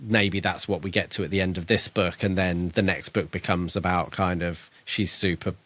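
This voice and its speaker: A man's voice: sits low, fairly even intonation, normal speed, a bit bright. A man co-hosting a podcast, casually discussing a book and thinking aloud in a tentative, hedging way. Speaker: podcast co-host.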